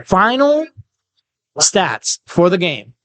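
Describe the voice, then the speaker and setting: A man hosting a casual sports podcast, chatty, with quick asides and leading questions. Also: sits high, huge pitch swings, a bit bright, no echo. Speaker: podcast host. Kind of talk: casual sports chat and interviews.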